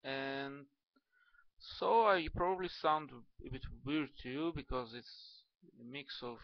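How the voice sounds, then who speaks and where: Slow-talking man with a Russian accent. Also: medium pitch, dry recording, highs cut off. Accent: Russian and Hebrew. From Ukraine. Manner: halting, many fillers and restarts. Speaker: video blogger. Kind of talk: casual to-camera talk.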